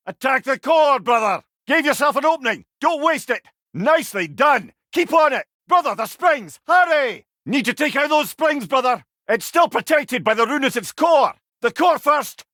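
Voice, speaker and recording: A man voicing a sharp old Scottish companion in a video game, calling out clipped, urgent battle warnings. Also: very high-pitched, no room sound, clean studio sound, exaggerated voice. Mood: urgent, exhorting. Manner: urgent, clipped, projected shouting.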